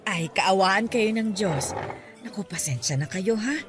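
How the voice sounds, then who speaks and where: An actress playing a fond, bantering older mother in a radio drama, her voice lowered to sound aged, with soft music underneath. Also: lively intonation, unhurried, close to an everyday voice. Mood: apologetic. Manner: fond, bantering, laughs a lot. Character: chatty, teasing older mother of the groom.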